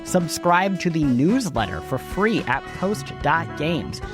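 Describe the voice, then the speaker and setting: A man's voice: on the high side, sing-song, slow pace. A man hosting a podcast, reading scripted news and show notes in a wry, conversational way. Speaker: podcast host. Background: music behind the voice.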